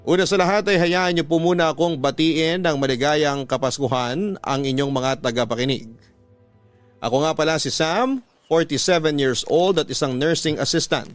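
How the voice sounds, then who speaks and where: A man's voice: mid-range voice, no echo, close to an everyday voice. An actor playing a middle-aged nursing assistant recounting his love story as a scripted radio letter, with a Filipino accent. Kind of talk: scripted first-person radio letter narration.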